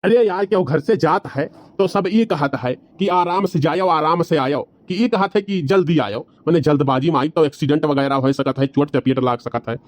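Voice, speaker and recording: A man's voice: fairly high pitch, normal speed, hardly any pauses, close to an everyday voice. A man voicing an argumentative, excuse-making village husband with an Awadhi accent in a cartoon comedy. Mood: wary, quibbling. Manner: rambling, bantering, colloquial.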